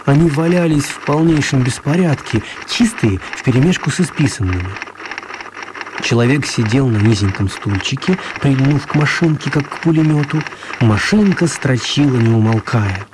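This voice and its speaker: A man's voice: medium pitch, normal speed, background noise, lightly acted. A man narrating a children's radio play as a scripted storyteller.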